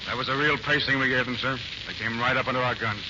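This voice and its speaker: An actor playing an earnest, respectful junior Marine aide in a 1940s radio drama, speaking with brisk deference. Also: male voice, mid-range voice, lightly acted. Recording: muffled, very noisy 1940s radio recording.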